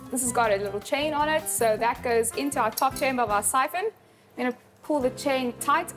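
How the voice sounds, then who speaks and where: A woman explains a process step by step in an informal barista demonstration. Her voice is on the high side. Light music plays behind her.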